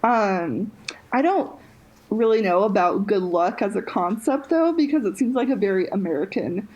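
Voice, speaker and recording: Young woman co-host, husky tone, mid pitch, casual and halting. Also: expressive, a little mellow, muffled recording. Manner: halting, frequent restarts and fillers, laughs between phrases. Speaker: podcast co-host. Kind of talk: casual chat.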